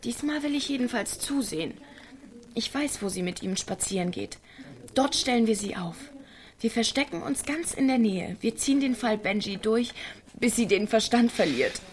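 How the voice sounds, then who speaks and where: A woman's voice: a little high, animated, moderate pace, natural voice. A woman playing a teasing, thrill-hungry teenage village girl in a naturalistic German radio drama. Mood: scheming.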